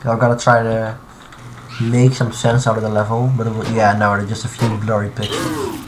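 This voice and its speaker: A man streaming live from his computer, talking through his modding in a halting, thinking-aloud way full of restarts. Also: fairly low pitch, flat delivery. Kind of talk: casual live-stream commentary while modding. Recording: clear background noise and typing.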